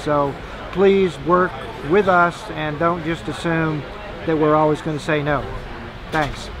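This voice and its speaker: A man's voice: on the high side, steady tone, takes it slowly. An American man in his sixties making an informal appeal to camera as a medical official. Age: 60s. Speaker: physician and government official. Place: exhibit booth in a large indoor hall. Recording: busy exhibit hall chatter.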